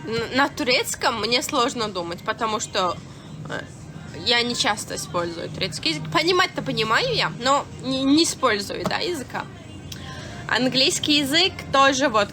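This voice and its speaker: Young Turkmen woman chatting on a live stream. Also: fairly high pitch. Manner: answers viewers' comments, chatty and frank, many fillers and restarts. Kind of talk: casual live-stream chat.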